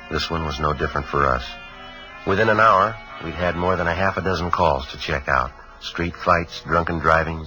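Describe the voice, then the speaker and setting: Low, clipped police sergeant. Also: male voice, poor audio. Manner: clipped, understated, matter-of-fact. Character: terse, businesslike male police homicide sergeant. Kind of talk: 1950s radio crime drama acting and first-person narration. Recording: vintage radio recording.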